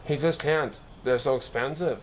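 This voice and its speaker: A man's voice: mid-range voice, normal speed, a little hiss or hum, close to an everyday voice. A man voicing a hurt but resolute schoolboy dancer in a storybook, speaking in an earnest, pleading way. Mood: tearful, dejected. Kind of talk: storybook character dialogue.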